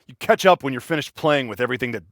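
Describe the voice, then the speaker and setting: An actor with an American accent playing a protective, exasperated older brother in a video game, earnest and urgent with a dry sarcastic edge. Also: male voice, mid-range voice, expressive, very fast, a bit bright, no echo, close to an everyday voice. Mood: dry, wry. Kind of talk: naturalistic video game voice acting. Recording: dry isolated game voice lines.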